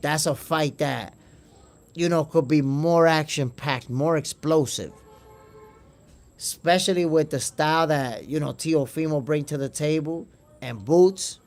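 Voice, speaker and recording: A man's voice: a little high, a little slow. A Dominican man with a New York accent, hosting a casual boxing livestream, reading chat aloud and calling viewers "my brother". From Dominican Republic. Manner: chatty, calls viewers "my brother", repeats words. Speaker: boxing podcast host reading live chat.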